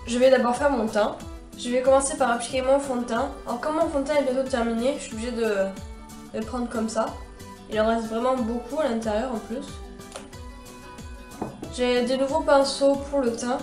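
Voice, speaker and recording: A woman's voice: a little high, fairly even intonation, fairly quick, a little mellow, echoey room, faint music behind. A young woman with a cold and a stuffy nose, chatting her way through a makeup tutorial with many fillers and restarts. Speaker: beauty vlogger.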